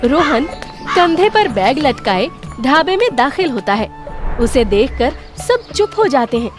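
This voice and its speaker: A woman's voice: high-pitched, big pitch swings, measured pace. A woman reading scripted narration for a cartoon story. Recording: music under the voice.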